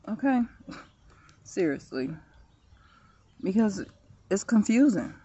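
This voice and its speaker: A woman in her late thirties chatting casually to viewers in a vlog, laughing often, recorded outdoors on her phone in a quiet park. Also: low-pitched, lo-fi sound. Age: 30s. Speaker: vlogger.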